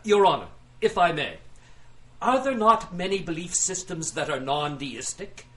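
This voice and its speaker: A man's voice: a little high, sing-song, moderate pace. An actor playing a pompous, aggrieved industrialist who pleads his own case with theatrical, grandiloquent delivery in an audio drama. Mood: indignant, formal.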